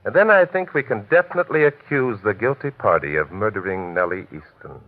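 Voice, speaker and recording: A tinny old radio recording carries a low, swooping voice. It is a shrewd detective, courteous and unruffled as he methodically presses his questions in classic mystery style. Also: lively intonation, fairly quick, noisy background. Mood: measured, deliberate. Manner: polite, methodical, smoothly insistent.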